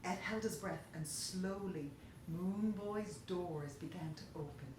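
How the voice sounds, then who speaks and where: A woman reading a children's story aloud in an expressive storytelling style. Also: on the low side, takes it slowly. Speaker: story reader. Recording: very echoey room, faint noise.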